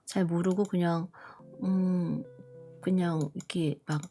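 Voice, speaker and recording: A middle-aged woman from Korea who grew up partly in Busan, chatting slowly and gently to viewers with many pauses and fillers. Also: on the low side, fairly even intonation. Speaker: live stream host. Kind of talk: casual live chat to viewers. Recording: soft background music.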